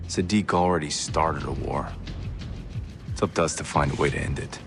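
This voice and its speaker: A man's voice: extremely low pitch, moderate pace, vocal fry, music in the background. A man playing a terse, unflappable veteran covert-operations commander in a game, with a dry, commanding delivery. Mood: firm, defiant. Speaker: field team leader in a spy game. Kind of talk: dramatic game cutscene acting.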